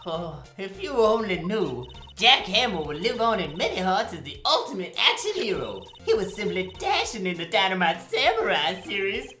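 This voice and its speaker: A woman's voice: medium pitch, very animated, normal speed, few pauses, bright, forceful tone. A woman playing a brash, vain, gossipy older female security guard in a fan-dubbed game, talking in a bossy, folksy, theatrical way. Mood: gossipy, insistent. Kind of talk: cartoonish fan-dub game acting. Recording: roomy sound with faint music behind.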